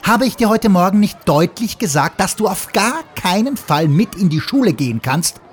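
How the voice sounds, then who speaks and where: Storybook figure: an indignant schoolgirl who scolds readily, lively pitch, voiced by a male narrator. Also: slight background noise, natural voice. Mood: scolding. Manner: scolding, quick to take offense.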